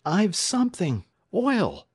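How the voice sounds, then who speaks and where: A male narrator acts out an earnest young telegraph operator in an adventure storybook. The voice is pitched well up, urgent and eager but respectful, and recorded dry. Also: normal speed, no echo, lightly acted. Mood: urgent, excited.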